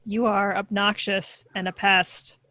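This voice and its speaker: A woman playing a literal, obedient child robot with a flat, robotic delivery in a sitcom table read. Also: mid-range voice, a little slow. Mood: flatly insulting.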